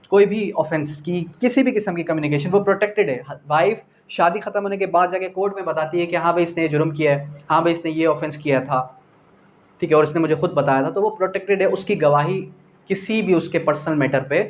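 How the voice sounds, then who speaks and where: A Pakistani man teaches in a lecturer's manner, his voice pitched a little higher than average. He poses questions, answers them himself and repeats key phrases, slipping in English terms. Some noise sits behind his voice. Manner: mixes English legal terms into speech. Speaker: law lecturer. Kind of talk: classroom lecture explaining definitions and articles.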